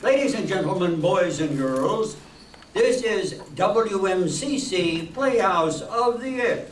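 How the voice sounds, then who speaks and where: A man's voice: high voice, animated, slow pace. A man playing a genial 1940s radio show host, formally announcing and narrating a live stage performance. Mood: welcoming showman. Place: stage of an auditorium with live audience. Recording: echoey hall from far off, faint noise.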